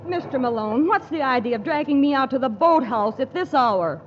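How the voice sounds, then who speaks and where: Teasing skeptical date, high voice, old broadcast. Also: female voice, animated, moderate pace. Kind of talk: 1940s radio comedy acting. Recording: dull old radio broadcast, faint hiss.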